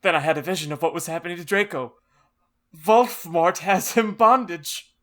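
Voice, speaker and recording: Guy putting on a panicky teen vampire boy, way pitched up, melodramatic, audiobook. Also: moderate pace, fairly bright tone. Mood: frantic, pleading. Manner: frantic, melodramatic.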